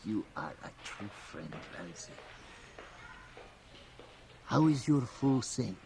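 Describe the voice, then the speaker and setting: An ancient, mischievous old priest for a radio play. The voice is heavily creaky and slow, with sly pauses and scholarly playfulness. A faint tape hiss sits under it. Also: male voice, fairly low pitch, big pitch swings, exaggerated voice. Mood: sly, wheedling. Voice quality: creaky, gravelly aged voice. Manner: sly, chuckling, hushed asides, dramatic pauses. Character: very old, mischievous, learned Jesuit priest, over a hundred. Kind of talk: radio drama acting. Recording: faint hiss, home cassette radio transfer.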